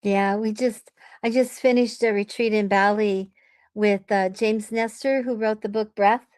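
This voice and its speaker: A woman's voice: mid-range voice, steady tone, a little slow, darkish tone, clear, resonant tone, no room sound. A woman who works as an event producer, chatting casually in an effusive, friendly way. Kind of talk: casual chat.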